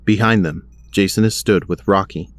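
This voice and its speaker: A man's voice: sits low, steady tone, normal speed, dry recording, natural voice. A man narrating a superhero audio drama in a scripted storytelling style. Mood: measured. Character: male narrator of a superhero adventure.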